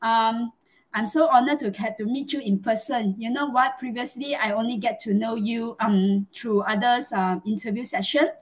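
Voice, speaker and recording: A woman's voice: on the high side, moderate pace, low-quality recording. A middle-aged woman from Malaysia with a Malaysian accent, hosting a live chat interview in an effusive, chatty way over a video call. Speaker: host. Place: small home room, indoors.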